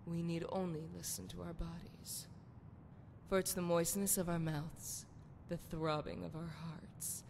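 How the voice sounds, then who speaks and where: A long reverb surrounds a seductive game priestess, played by a woman, with clear rumbling noise behind her. Her voice sits fairly low for a woman. She speaks in a sultry, flirtatious way, poetic and a little theatrical. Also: a little mellow, natural voice. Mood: sultry, confiding. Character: seductive, flirtatious adult priestess of a love goddess. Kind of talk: scripted video game character dialogue. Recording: long hall reverb, noisy rumbling background.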